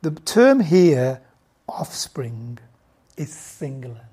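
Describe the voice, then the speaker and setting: A woman's voice: low-pitched, very animated, takes it slowly, mellow, husky. Woman teaching Bible, chatty. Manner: informal, stumbling restarts, asks the audience questions. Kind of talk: conversational Bible teaching to a group.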